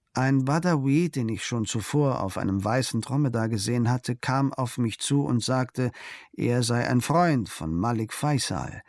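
A man's voice: mid-range voice, a little mellow, no room sound. Male audiobook narrator, very dry sound. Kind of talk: scripted literary narration of a travel diary.

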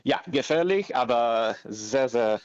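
A man's voice: mid-range voice, animated, takes it slowly, no echo, dull audio. A man from Italy with an Italian accent, talking casually in an interview, searching for words and slipping into English. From Parma, Italy. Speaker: interviewee, orchestral bassoonist.

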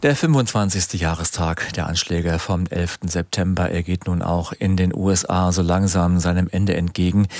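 A man presenting in a radio studio, reading scripted news links in a measured, formal way. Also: low voice, darkish tone, dry recording.

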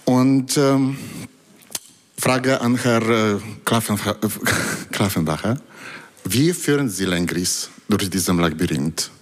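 Slow, halting man, non-native German. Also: fairly low pitch. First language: not German. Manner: halting, reads from notes, frequent fillers. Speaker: audience member asking a question. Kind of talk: public town hall question. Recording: hall reverb.

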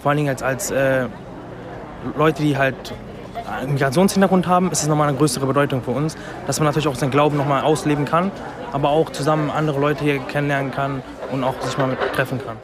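A man's voice: fairly high pitch, steady tone, quick pace. A man in his twenties from Germany, speaking spontaneously as an interviewee. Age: 20s. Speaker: interviewee, temple visitor. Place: busy festival site, recorded on location. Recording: crowd noise around the voice.

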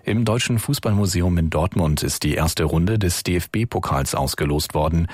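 A male radio newsreader delivers the bulletin in a calm, even way. His voice sits very low and has a husky grain. It sounds close and dry, with no room around it. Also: normal speed, no echo. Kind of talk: radio news reading.

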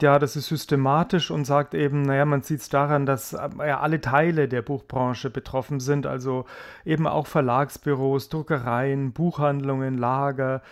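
Hesitant, explanatory male correspondent. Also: mid-range voice. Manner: thoughtful, explanatory, with frequent hesitations. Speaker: foreign correspondent. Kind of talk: live radio interview answers.

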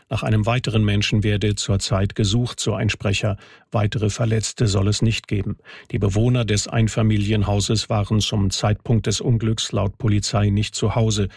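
A male news anchor with a gravelly, creaky edge to his voice, recorded very dry and close. He reads scripted bulletins formally and factually. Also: fairly low pitch, a little mellow, no echo. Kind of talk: scripted radio news reading.

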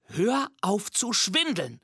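A man's voice: sits high, very animated, slow, bright, forceful tone, husky, dry recording, clean studio sound, voice pushed up, heavily acted. A man voicing a strict, indignant young girl while reading a children's storybook aloud. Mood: stern. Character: stern, cross young girl.

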